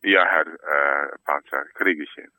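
Deep, forceful, exasperated man. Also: unhurried, dry recording. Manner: exasperated, agitated, emphatic. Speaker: sculptor and stonemason taking a phone call.

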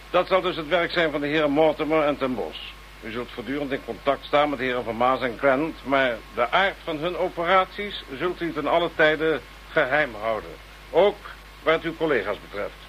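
A man playing a measured, authoritative government minister, speaking formally and deliberately in a radio play. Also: medium pitch, fairly bright tone, slight background noise, close to an everyday voice, rough recording.

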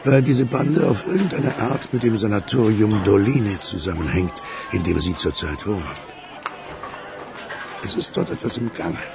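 A man acting in a German radio crime drama as a courteous, measured older businessman with a crooked past, calmly persuasive. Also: on the low side, vocal fry, a crowd in the background. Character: suave ageing provincial magnate, ex-crook turned capitalist, calmly persuasive.

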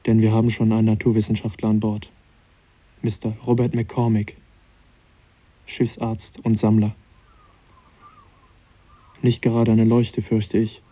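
A man's voice: fairly low pitch, completely flat delivery, a little slow, long pauses, dark tone, no echo. An actor playing a cautious, polite young naturalist in his twenties in a radio play. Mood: reflective. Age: 20s. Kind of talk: radio play acting and narration.

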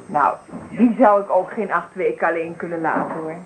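A woman's voice: fairly low pitch, normal speed, lightly acted. An actress playing a chatty, polite young female visitor in a radio play, sociable and gushing. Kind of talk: naturalistic radio play acting. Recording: faintly noisy radio drama recording.

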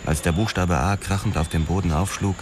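A man's voice: deep voice, fairly even intonation, a little fast, noisy background, close to an everyday voice. An actor playing a hard-boiled police detective who narrates his own story in a radio play, brooding and terse. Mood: tense, grim. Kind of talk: scripted first-person radio play narration.

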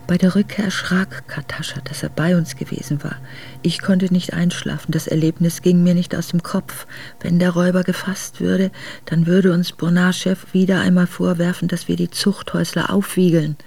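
An actress playing an earnest, reflective exiled noblewoman, narrating her memoir in a measured way for a radio drama. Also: on the low side, flat delivery, creaky, a little mellow, slightly rough. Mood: grave, reflective. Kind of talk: literary first-person radio drama narration.

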